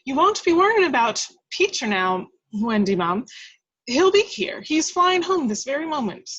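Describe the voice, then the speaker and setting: A woman's voice: high-pitched, sing-song, normal speed, fairly bright tone, muffled recording, voice pushed up. A woman voicing a chatty, motherly Irish housekeeper who fusses and bustles in a screenplay reading. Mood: reassuring, excited.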